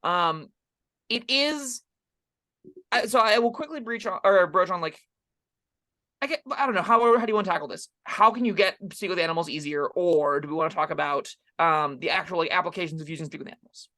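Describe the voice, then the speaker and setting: A man with a high, bright voice talks very quickly through tabletop game rules. He sounds like an opinionated co-host in a casual discussion, pressing each point with emphasis.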